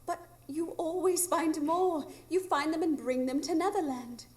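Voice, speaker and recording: A wistful grown mother played by a woman actor, with a very high voice. She is caring and earnest, in live radio drama style. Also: unhurried. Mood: pleading. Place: improv theatre stage, live audience.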